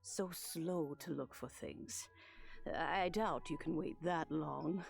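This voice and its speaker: Gentle old granny from a fantasy game, low creaky voice, wistful and reminiscing. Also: female voice, faint music behind, exaggerated voice. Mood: kindly, musing. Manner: unhurried, cryptic, reminiscing. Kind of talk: video game voice acting.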